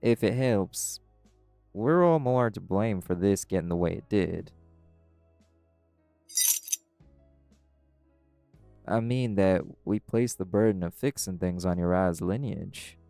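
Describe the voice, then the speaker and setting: A man playing a calm, caring were-dog pack alpha in an audio roleplay, gently reassuring a friend. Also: deep voice, measured pace, vocal fry, clear, resonant tone, no room sound, faint music behind. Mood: steady, earnest. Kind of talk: audio roleplay acting, intimate comforting talk.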